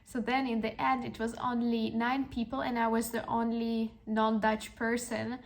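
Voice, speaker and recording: Young woman podcaster, kinda high voice with lots of vocal fry, earnest and encouraging. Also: little pitch movement, normal speed. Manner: earnest and encouraging, with frequent restarts. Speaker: podcast host. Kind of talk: reflective personal storytelling monologue.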